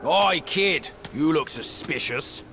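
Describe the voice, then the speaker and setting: A man's voice: a little high, lively intonation, slow pace, bright, forceful tone, a little hiss or hum, heavily acted. An actor playing a brash, grumbling, boastful pig-man warrior in a video game cutscene. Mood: scornful, grumbling.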